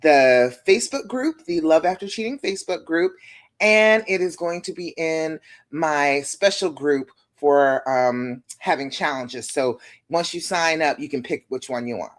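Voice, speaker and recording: Woman, deep voice, relationship coach, casual livestream talk, reassuring, phone mic. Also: unhurried. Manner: warm, chatty, reassuring, tag questions like "okay?". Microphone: phone live stream.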